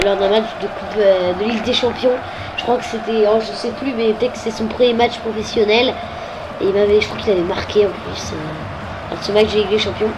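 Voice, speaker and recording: A woman's voice: fairly high pitch, background noise. A woman with a head cold commenting on a football video game, hesitant and full of filler sounds. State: has a cold.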